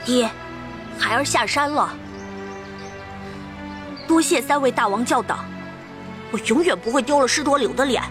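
A woman's voice: high-pitched, animated, normal speed, long pauses. A woman voicing a young, brave, respectful tiger-demon boy, earnest and resolute, in a fantasy audio drama. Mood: solemn resolve. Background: music.

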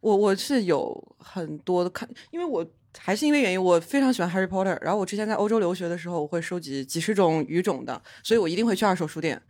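A man guest in a casual podcast chat, talking in a halting, self-correcting way. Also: sits high, expressive, a little mellow.

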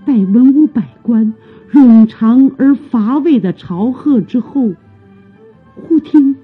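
A man narrating a radio drama in a grave, hushed, deliberate way, with a breathy voice. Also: very high-pitched. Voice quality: breathy, husky. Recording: tinny recording with music.

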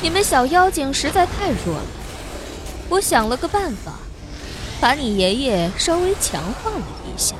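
A woman's voice: high voice, big pitch swings, moderate pace, over music. A woman playing a gloating, imperious villainess who commands monsters in an audio drama, mocking and theatrical. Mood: mocking, smug. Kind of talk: Chinese audio drama acting.

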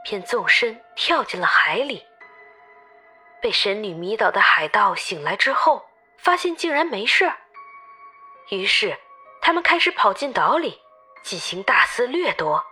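A woman playing a lively tour guide who tells an island legend to travelers in an expressive, emphatic audio-novel style. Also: medium pitch, measured pace, long pauses, slightly rough, faint music behind, full character voice. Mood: dramatic storytelling. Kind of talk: dramatized storytelling in an audio novel.

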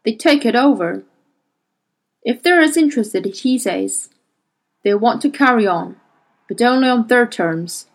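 A woman's voice: fairly high pitch, animated, measured pace, pauses often. A woman reading the part of a pushy, defensive male scientist who argues insistently. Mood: pressing. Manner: pressing, argumentative.

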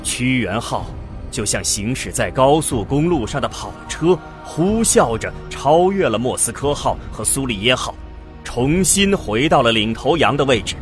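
A man narrates a science-fiction radio drama in a medium-pitched voice that rises and falls vividly. His scripted delivery is dramatic, and prominent music plays behind him. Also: expressive, normal speed. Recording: loud music bed behind voice.

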